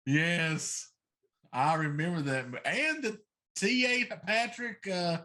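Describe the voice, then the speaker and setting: A man's voice: high-pitched, slow pace, sharp tone. A middle-aged man co-hosting a casual chat show, speaking in an opinionated, emphatic way. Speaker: talk show co-host. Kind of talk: casual chat about films.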